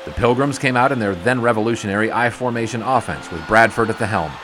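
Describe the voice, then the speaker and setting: Actor as low-voiced wry sportswriter narrator. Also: male voice, music in the background, lightly acted. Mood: grand storytelling. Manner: storytelling, wry, measured. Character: wry older sportswriter narrating memories of the 1920s. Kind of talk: scripted audio drama narration.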